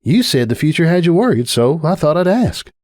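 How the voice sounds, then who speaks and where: A man performs a young farm mare in a pony storybook, pitched up from his usual voice. She is folksy and steady, reassuring with a teasing edge, and her speech rises and falls freely. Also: a little fast, dry recording, clean studio sound. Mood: teasing, easygoing.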